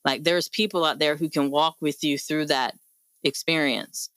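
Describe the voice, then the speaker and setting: A woman's voice: sits low, moderate pace, fairly bright tone, no room sound. A woman hosting a radio panel discussion, speaking in an encouraging, conversational and emphatic way. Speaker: host; mental health professional.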